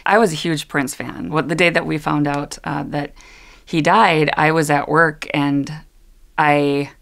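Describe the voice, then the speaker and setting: A woman's voice: deep voice, steady tone, measured pace, vocal fry, fairly bright tone. A middle-aged woman, a sign language interpreter, talking earnestly in an interview, often restarting her sentences and laughing easily. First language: English. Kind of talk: interview talk.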